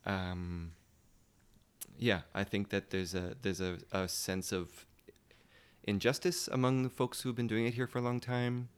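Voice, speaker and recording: A man speaking as a guest in a casual panel discussion, thoughtful and often pausing to search for words. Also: lowish voice, flat delivery, clear, resonant tone.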